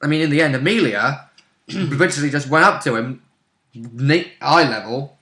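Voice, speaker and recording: A man's voice: on the high side, normal speed, sharp tone, reverberant. A man chatting casually and bluntly as a player recapping a tabletop role-playing game, in an informal, sweary way.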